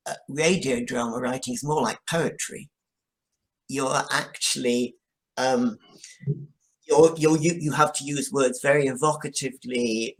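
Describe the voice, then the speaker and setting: A man giving reflective interview answers as a guest, explaining things in a thoughtful, halting way. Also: medium pitch, low-quality recording. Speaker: guest, drama writer.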